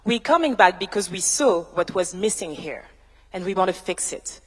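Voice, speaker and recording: A woman, a tech founder giving a measured, emphatic panel answer built from rhythmic lists. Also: lowish voice, animated. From France. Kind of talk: prepared keynote-style panel answer. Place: large university hall, on stage. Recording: reverberant large hall.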